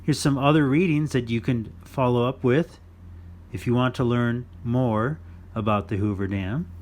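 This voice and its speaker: A man's voice: medium pitch, normal speed, darkish tone. A man hosting a children's story time, reading a non-fiction book aloud in an instructive, playful way for young listeners.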